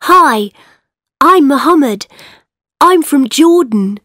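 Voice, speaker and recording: A woman voicing children in a textbook dialogue for young learners, speaking carefully and clearly. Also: high-pitched, animated, very slow, a bit bright, dry recording, clean studio sound, heavily acted. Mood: cheerful. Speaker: textbook audio voice actor.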